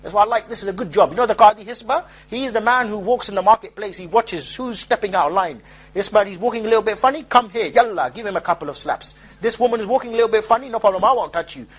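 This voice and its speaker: A man giving an informal street-style lecture as a preacher, rapid and emphatic with mocking asides. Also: high-pitched.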